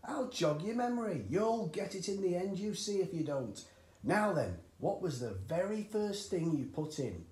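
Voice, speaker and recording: A man's voice: high-pitched, very animated, darkish tone, voice pushed up. A man voicing an overexcited middle-aged father who bellows and gushes over his schemes. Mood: excited. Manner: bellowing, gushing, drawn-out exclamations. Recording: roomy echoey home recording.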